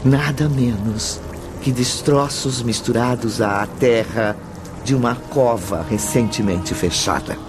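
A male actor as a solemn storyteller in a radio drama, with a mid-pitched voice and measured, theatrical delivery. He narrates a grim tale over prominent music. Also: natural voice. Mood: ominous.

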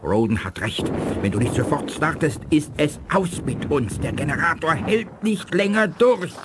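An actor playing a dignified, ailing alien scientist in a 1980s German radio drama, with a measured manner. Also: male voice, fairly high pitch, expressive, noisy background, natural voice. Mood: weary, grave.

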